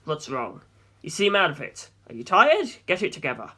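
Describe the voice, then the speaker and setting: A narrator acts out a big, confident young gentleman in an audiobook. He is smugly pleasant and possessive, stretching out his polite words with lively swings of pitch. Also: moderate pace, slightly rough, heavily acted. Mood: condescending, controlling. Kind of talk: audiobook character dialogue.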